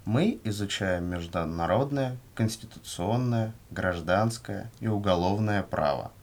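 A man reading scripted language-course answers in a measured, carefully enunciated way. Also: lowish voice. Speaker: language lesson reader.

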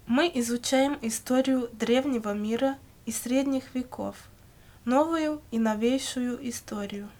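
A woman reading scripted language-course questions and answers in a measured, carefully enunciated way. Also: on the high side, darkish tone. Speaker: language lesson reader.

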